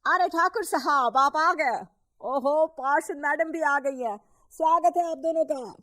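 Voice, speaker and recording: A woman playing deferential, flattering townsfolk in a cartoon moral story, with an eager, obsequious manner. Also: sits high, a little slow, no echo. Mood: welcoming.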